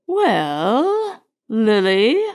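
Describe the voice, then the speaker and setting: A haughty elderly society lady, acted out by a woman reading a storybook, speaks in a high voice at a very slow, drawn-out pace. She is severe and condescending, and her pitch swings emphatically. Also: sing-song, full character voice. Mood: stern, disdainful.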